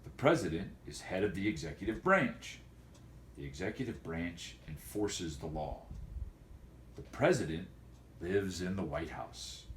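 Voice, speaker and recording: A man speaking as a teacher and host of a homemade video for students, in a folksy, wordy, deliberate way. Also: low voice, lively intonation, reverberant, slight background noise. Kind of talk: casual educational vlog for students, with read-aloud.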